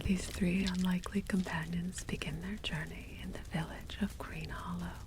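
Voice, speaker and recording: A woman's voice: fairly low pitch, rough recording. Whispering woman game master. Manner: soft, unhurried storytelling. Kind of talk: ASMR whispered tabletop game narration.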